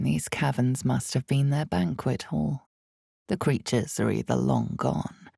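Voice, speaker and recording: A woman playing the brooding narrator of a dark fantasy game, speaking in solemn, deliberate, suspenseful scripted narration. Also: extremely low pitch, creaky, dry recording, clean studio sound, heavily acted.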